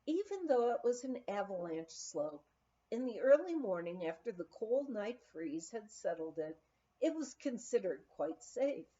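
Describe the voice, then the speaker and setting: Woman reading an audiobook memoir, soft mellow tone, really animated pitch, kinda dull lo-fi sound. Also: a little slow. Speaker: audiobook reader. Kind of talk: expressive memoir reading, storytelling.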